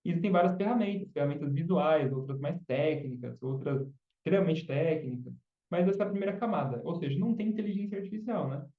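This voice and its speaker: A man's voice: on the high side, quick pace. Man explaining from a distance in a roomy space. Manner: explains patiently, often adds tag questions. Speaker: podcast guest, chatbot specialist. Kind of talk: casual explanatory interview talk. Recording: dull, roomy sound from off mic.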